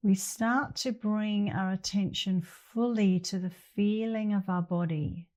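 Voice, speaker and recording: A middle-aged woman leading a guided meditation as an instructor, speaking gently and leaving long pauses between phrases. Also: medium pitch, steady tone.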